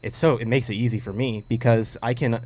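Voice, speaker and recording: A man's voice: medium pitch, fairly even intonation, fast talker, darkish tone. An American man speaking as a guest in a casual interview, earnest and talkative. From United States. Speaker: interview guest, former TV show performer.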